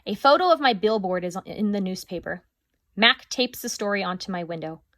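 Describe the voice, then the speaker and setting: A woman teacher reading a chapter book aloud to her class, with expressive, lively storytelling. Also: mid-range voice, a little fast, no room sound, clean studio sound.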